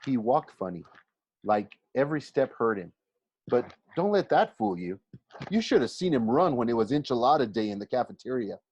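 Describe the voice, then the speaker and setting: A man reads a first-person story aloud in an expressive, conversational way, with dramatic emphasis and pauses. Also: mid-range voice, slightly rough. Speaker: teacher reading a novel aloud for a class. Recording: roomy, slightly dull home recording.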